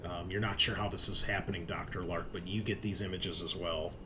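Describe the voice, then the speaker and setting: A man improvising narration as game master of a tabletop roleplaying game, in a measured, halting, descriptive way. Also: deep voice, steady tone, pushed and bright, slight background noise.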